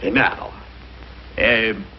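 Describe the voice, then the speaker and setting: A man's voice: lowish voice, steady tone. An actor playing a fussy, sarcastic department-store clerk in a radio comedy, gushing and drawing out his exclamations. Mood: smug, snide. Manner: gushing, drawn-out exclamations, snide. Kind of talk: 1950s radio comedy acting. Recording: dull, noisy 1950s radio recording.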